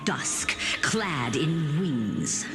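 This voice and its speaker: A woman voicing a solemn storyteller who recites an ancient epic in a game, grand and deliberate. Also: low voice, sing-song, loud music behind the voice, heavily acted. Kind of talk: scripted epic narration.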